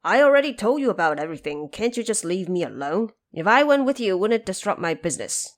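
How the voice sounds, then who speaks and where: A woman's voice: mid-range voice, big pitch swings, quick pace, close to an everyday voice. A woman voicing a plain-spoken, busy male woodcutter in an audio drama, with a blunt manner. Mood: exasperated. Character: gruff, busy male woodcutter supporting his mother.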